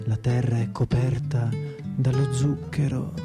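A man giving a poetic recitation in a measured, lyrical manner. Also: mid-range voice, little pitch movement. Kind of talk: slow poetic recitation over guitar. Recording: prominent guitar music behind the voice. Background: plucked guitar.

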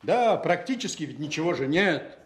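A man's voice: a little high, big pitch swings, moderate pace. A man acting a stern, impatient Soviet army general in a Russian radio play, speaking in a curt, commanding way. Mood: angry, reproachful. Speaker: actor in an audio drama.

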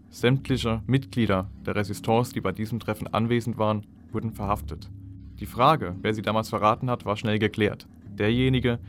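A man's voice: lowish voice, a little hiss or hum. A man giving explanatory documentary commentary.

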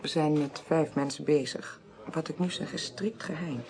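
A woman playing a composed, probing investigator who narrates a radio crime drama in a measured, polite, quietly insistent way. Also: low-pitched. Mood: concerned, discreet. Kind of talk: 1980s Dutch radio play acting.

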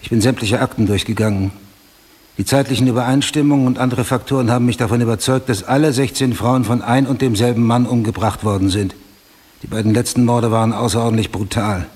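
An actor playing a driven, obsessive homicide detective sergeant in a German radio drama, speaking in a formal, deliberate, insistent way. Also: male voice, mid-range voice, steady tone, darkish tone, slightly rough, close to an everyday voice. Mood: grave, determined.

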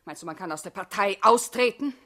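Husky, stern revolutionary woman. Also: mid-range voice, lively intonation, brisk, bright, forceful tone. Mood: scornful. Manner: commanding, cutting. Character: stern, urgent woman revolutionary, hard party comrade. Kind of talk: mid-century German radio play acting.

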